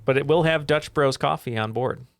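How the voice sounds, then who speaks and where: A man's voice: mid-range voice, moderate pace, no room sound. A man playing trivia in a casual chat, thinking aloud and laughing with his team. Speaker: trivia contestant.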